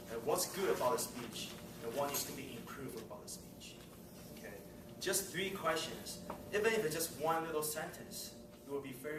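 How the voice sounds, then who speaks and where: A man chats through club announcements in a friendly, easygoing way, laughing between remarks. He sits far from the mic in an echoey meeting room. Steady room noise fills the background. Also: medium pitch. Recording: roomy, distant mic, loud room noise.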